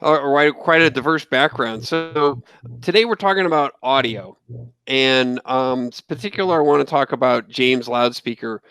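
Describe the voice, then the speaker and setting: A man's voice: medium pitch, moderate pace, fairly bright tone, clear, resonant tone, no room sound. An American man hosting an interview, introducing his guest and asking questions. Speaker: interviewer, trade magazine host.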